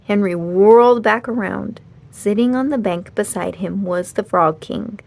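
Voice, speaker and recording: A woman narrating a children's storybook aloud in an expressive read-aloud style. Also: medium pitch, unhurried, creaky.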